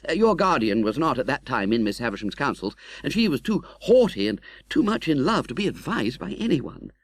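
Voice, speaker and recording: A man playing a genteel, candid, cheerfully optimistic young gentleman in an audiobook, chatty and slightly hesitant. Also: mid-range voice, fairly quick, slightly rough, no echo. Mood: earnest, confiding. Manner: animated, chatty, hesitating with little 'uh's. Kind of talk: audiobook character acting.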